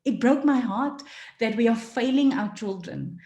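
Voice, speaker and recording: A woman from South Africa giving an earnest talk at an online forum, often pausing with fillers. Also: on the high side, mellow, reverberant, highs cut off. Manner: earnest, many fillers, emotional at times. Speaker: forum speaker. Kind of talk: passionate talk. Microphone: video call.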